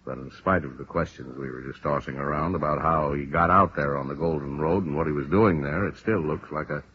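An actor playing a businesslike American police lieutenant in a vintage radio drama, frank, firm, deliberate and emphatic. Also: male voice, extremely low pitch, slightly rough. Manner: deliberate, emphatic, matter-of-fact. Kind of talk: 1950s radio drama acting. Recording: muffled, hissy old radio recording.

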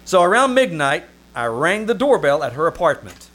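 A man's voice: on the high side, moderate pace. A man playing a wry, lovesick and easily flustered Hollywood press agent in an old-time radio comedy performed on a theater stage. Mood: wry narration. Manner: wry, excitable, stammering when flustered. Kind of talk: old-time radio comedy acting with first-person narration. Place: small-town theater stage. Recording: noisy live theater stage recording.

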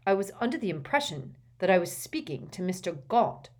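A woman voicing a formal male government official in an audiobook, polite, measured and firm. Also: fairly low pitch, big pitch swings, darkish tone. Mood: wary, firm. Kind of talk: audiobook character voice.

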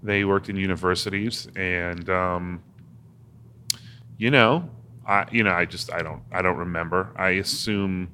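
A man's voice: sits low, fairly even intonation, measured pace, sharp tone, slight background noise. A man from Massachusetts, a guest in an interview, talking casually and rambling with many restarts and fillers. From Massachusetts, USA. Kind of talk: casual conversation.

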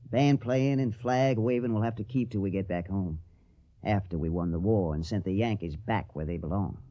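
An actor playing a confident, affectionate young Confederate volunteer in a radio drama, speaking in an earnest, assured manner. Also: male voice, fairly low pitch, moderate pace, natural voice. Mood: resolute. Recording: dull, muffled radio recording.

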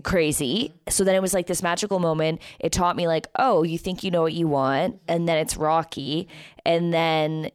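A woman's voice: sits low, fairly even intonation, normal speed, smooth, no echo. A woman hosting a podcast, chatting casually and enthusiastically with her guest. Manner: enthusiastic, casual, swears freely. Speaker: podcast host. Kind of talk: casual interview chat.

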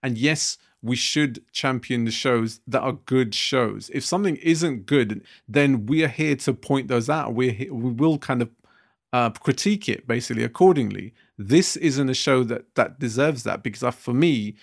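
Gravelly-voiced man chatting on a podcast. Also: medium pitch, moderate pace. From Britain. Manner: rambling, thinks aloud with many restarts and fillers. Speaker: podcast co-host. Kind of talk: casual podcast discussion.

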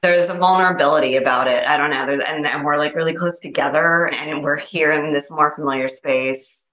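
A woman's voice: deep voice, fairly even intonation, brisk, a bit bright. A woman sharing reflections casually in a group discussion, hesitant and searching for her words. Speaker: participant in a Zen group discussion. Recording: roomy, echoing group-room pickup.

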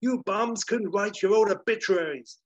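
A man's voice: high-pitched, moderate pace, a little hiss or hum, voice pushed up, close to an everyday voice. A man voicing an outraged Hollywood studio boss in a comic story, bellowing indignant questions. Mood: furious. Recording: roomy, dull lo-fi recording.

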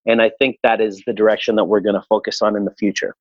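A man in his forties from Chile, a restaurateur answering interview questions in a relaxed, reflective way with frequent fillers. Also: on the low side, fast talker, no room sound, lo-fi sound. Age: 40s. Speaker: restaurateur guest.

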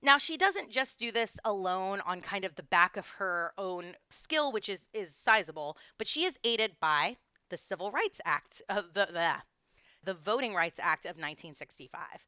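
A woman from Texas co-hosting a history podcast, telling the story in a lively, explanatory way. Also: medium pitch, normal speed, smooth, dry recording, studio quality. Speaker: podcast co-host.

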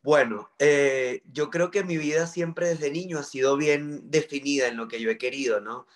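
A man in his thirties with a Venezuelan accent from Maracaibo talks about his past as an interview guest. His voice sits a little high for a man. He speaks earnestly and reflectively, pausing on fillers as he goes. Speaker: journalist guest. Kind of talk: interview storytelling.

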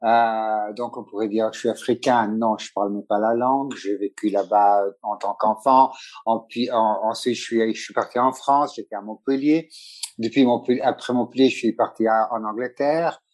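A middle-aged man, a photographer talking as a guest in a relaxed interview, hesitating and restarting often. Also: fairly low pitch, moderate pace. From Italy. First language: Italian. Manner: hesitant, many restarts and fillers. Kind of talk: casual conversational interview.

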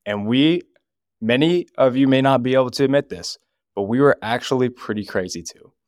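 A man on a podcast talking about youth ministry, joking at times and speaking earnestly and deliberately, reading scripture aloud now and then. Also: mid-range voice, animated, darkish tone, no echo, clean studio sound.

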